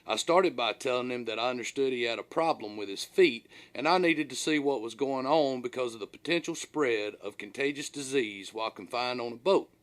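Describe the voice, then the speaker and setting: Male audiobook narrator, mid-pitched, highly animated, telling a humorous tale with dramatic mock gravity and folksy phrasing. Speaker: author reading his own audiobook. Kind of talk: scripted humorous storytelling narration.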